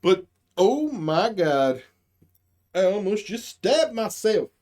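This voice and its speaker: Comedy stream host, medium pitch, casual improvised storytelling, slangy, swearing, playing out quoted characters. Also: very animated, slow pace, a little mellow.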